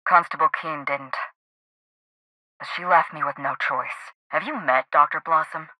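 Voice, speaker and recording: A woman's voice: deep voice, a little slow, sharp tone, dry recording, heavily acted. An actress playing a sardonic, grieving woman out to avenge her sister in a video game, speaking articulately with dry, controlled sarcasm. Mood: hard, defiant. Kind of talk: video game dialogue acting.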